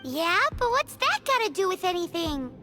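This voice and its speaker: A woman voicing a tiny, curious, anxious companion creature in a video game, squeaky and childlike. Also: big pitch swings, moderate pace, music in the background. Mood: worried. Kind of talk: animated video game voice acting.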